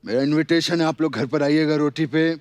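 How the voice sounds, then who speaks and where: Man acting a hammy, high-voiced scheming father. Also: fairly quick, no room sound, lightly acted. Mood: sly, shameless. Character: boozy, scheming elderly father, comic film-star parody. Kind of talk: stage sketch comedy. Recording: loud noise from studio audience.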